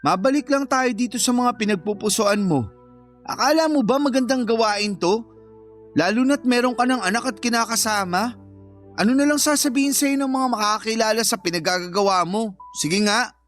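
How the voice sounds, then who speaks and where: A man acts a jealous working-class Filipino live-in boyfriend in an audio drama. He is plain-spoken and slow-witted, with a whiny, accusing way of talking. Soft music plays faintly behind him. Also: extremely high pitch. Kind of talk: Filipino radio-style drama acting.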